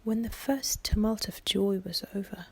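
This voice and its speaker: A woman reading an audiobook in soft ASMR style, in a hushed, breathy whisper with a gentle delivery. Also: mid-range voice, moderate pace. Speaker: audiobook reader.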